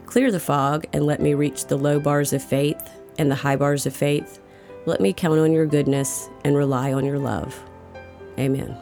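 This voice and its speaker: A woman's voice: low voice, vocal fry, darkish tone. A woman reads a prepared devotional reflection. Her delivery is that of a scripted reader, at an ordinary pace with a moderate tone. She speaks as the narrator of a daily faith reading.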